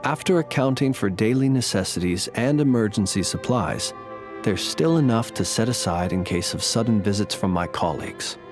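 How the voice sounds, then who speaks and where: A man voicing a courteous, modest gentleman in a video game, speaking in a measured, polite way with wry understatement. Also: on the low side, animated, music in the background, lightly acted. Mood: thoughtful. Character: courteous, gentle male lantern bearer, modest and wryly humorous. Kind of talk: video game character dialogue, storytelling.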